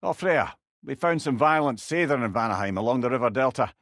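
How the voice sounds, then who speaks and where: A man's voice: medium pitch, lively intonation, brisk, fairly bright tone, no echo, clean studio sound. A man playing a wise, wry old advisor in a video game, a chatty and learned storyteller with a Scottish accent. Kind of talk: video game character dialogue.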